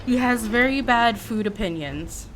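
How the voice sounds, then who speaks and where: A woman's voice: on the high side, a little slow, a little hiss or hum, poor audio. A woman in her forties, from Canada, chatting casually and playfully with viewers on a livestream. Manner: chatty and expressive, teasing and playful.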